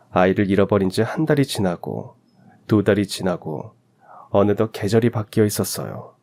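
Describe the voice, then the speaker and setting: A man's voice: on the low side, mellow. A man reading a scripted first-person story as a radio narrator.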